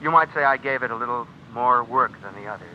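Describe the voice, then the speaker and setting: An actor in an old radio drama playing a smug, menacing blackmailer, a writer who talks with insinuating mock politeness. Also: male voice, mid-range voice, quick pace, close to an everyday voice. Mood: smug insinuation. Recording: dull, noisy old radio recording.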